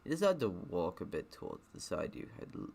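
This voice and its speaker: A man's voice: sits low, sing-song, brisk, creaky, darkish tone. A man reading a game's story aloud with casual, rambling asides, breaking off to comment as he goes. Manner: rambling, self-interrupting. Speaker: gaming video host.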